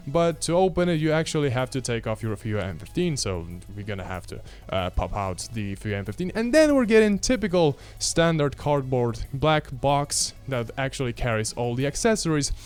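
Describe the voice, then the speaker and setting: A man's voice: a little high, a little mellow. A man in his twenties with a Polish accent, reviewing a product casually to camera with informal, wry asides. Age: 20s. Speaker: video host, product reviewer. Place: small indoor room. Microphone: handheld microphone held near the chest.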